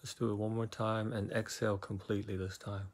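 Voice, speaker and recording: Guy doing guided meditation, kinda low voice, pretty even tone, slow soothing instructions.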